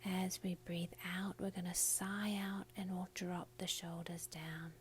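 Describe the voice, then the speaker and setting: A woman teaching a guided meditation for beginners, speaking softly and breathily in soothing instructions with pauses. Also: lowish voice, level tone, slight background noise.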